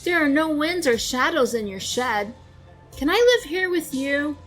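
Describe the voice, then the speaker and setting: A woman's voice: sits high, very animated, unhurried, fairly bright tone, faint music behind, pitched above the performer's own voice, full character voice. A woman voicing a playful but timid young male fox in a children's storybook, eager and pleading. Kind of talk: children's storybook read-aloud.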